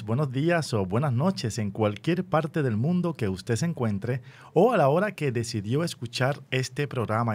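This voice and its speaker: Male radio host, Puerto Rican accent, mid-range voice, formal and welcoming presenter delivery. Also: medium pitch. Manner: formal, welcoming address to listeners. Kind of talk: radio show introduction and interview.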